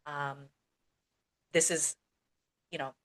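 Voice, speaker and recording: A woman who is a native English speaker, co-hosting a casual podcast chat as an ESL teacher, speaking in a relaxed way with many fillers. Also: sits low, extremely slow.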